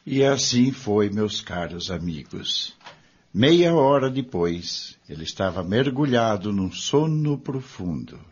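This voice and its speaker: A man delivers scripted radio drama narration at a slow pace. His voice is low and husky on a muffled recording. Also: sing-song. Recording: dull, lo-fi recording.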